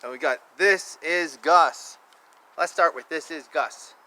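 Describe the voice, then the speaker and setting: A man reading children's picture books aloud in a playful, expressive way, with casual asides and repeated phrases. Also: on the high side, slow. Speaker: children's book reader. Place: outdoors. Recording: noisy outdoor ambience. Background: outdoor ambience, animal and vehicle sounds.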